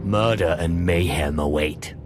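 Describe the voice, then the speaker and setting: Very deep, scornful Sith initiate. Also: male voice, measured pace, music in the background. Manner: curt, dry, scornful. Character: cynical, menacing male Sith initiate, curt and scornful. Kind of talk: video game cutscene acting.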